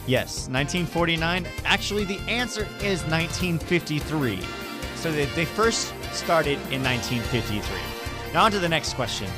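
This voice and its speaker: A man's voice: fairly high pitch, animated, normal speed, over loud music. A man hosting a radio show in an informal, conversational style. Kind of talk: casual radio chat.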